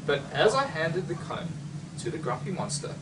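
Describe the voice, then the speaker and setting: A young man from Australia reading a children's picture book aloud, expressively, with dramatic pauses and exclamations. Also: on the high side, very animated. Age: young adult. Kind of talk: animated children's storybook reading. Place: library, among bookshelves. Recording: distant mic, noisy room ambience.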